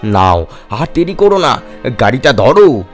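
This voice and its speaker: A man playing a sly, scheming cart puller in an animated moral story, wheedling with false sympathy. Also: medium pitch, a little slow, soft background music. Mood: coaxing.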